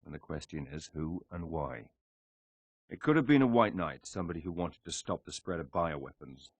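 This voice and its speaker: A British secret agent in a game cutscene. His voice is deep and closely recorded, with no room around it. He is composed and businesslike, delivering terse, dry lines with quiet determination. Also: animated, normal speed, breathy edge, dry recording, heavily acted. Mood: businesslike, resolute.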